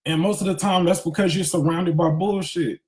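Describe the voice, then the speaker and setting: Echoey, higher-pitched man giving a pep talk. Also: fairly even intonation, normal speed, a little mellow. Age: 30s. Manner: emphatic, preacherly, repeats phrases for emphasis; conversational street-smart slang, candid. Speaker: motivational speaker. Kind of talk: casual spiritual pep talk, livestream. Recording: echoey, roomy sound.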